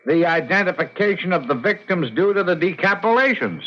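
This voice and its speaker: High-pitched eager New cop. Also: male voice, expressive, brisk, pushed and bright, heavily acted. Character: whiny, eager working-class police underling, slightly comic. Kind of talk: radio drama acting. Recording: dull old radio recording.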